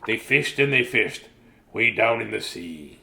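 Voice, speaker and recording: A man's voice: fairly low pitch, animated, unhurried, gravelly, bright, forceful tone. A middle-aged man reads a children's bedtime story in a silly, sing-song way, breaking off for jokey asides. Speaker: storyteller and host. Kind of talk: playful bedtime story reading with joking asides.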